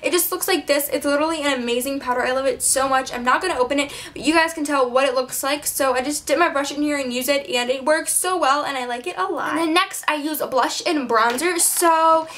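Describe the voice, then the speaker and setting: A high-pitched teenage girl talks through her makeup routine in a loose, chatty way. She keeps dropping in fillers and laughing at herself, and her voice sounds a little hoarse, like it's giving out. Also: fairly quick, hardly any pauses, a bit bright. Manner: chatty, many fillers, self-mocking asides. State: slightly hoarse, losing her voice. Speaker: beauty vlogger. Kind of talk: casual makeup tutorial chat.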